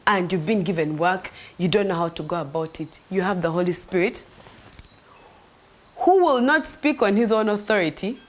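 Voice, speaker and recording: Earnest woman, low-pitched storyteller. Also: moderate pace. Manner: earnest, animated, dropping to soft near-whispers at times. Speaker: host sharing a faith testimony. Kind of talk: devotional storytelling.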